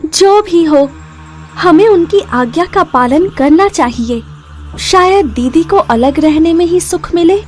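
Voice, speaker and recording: A kind young village wife, voiced by a woman storyteller, speaks in a high, very lively voice. She is gentle, respectful and eager, made for a children's cartoon. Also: slow pace, slight background noise, exaggerated voice. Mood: gentle, accepting.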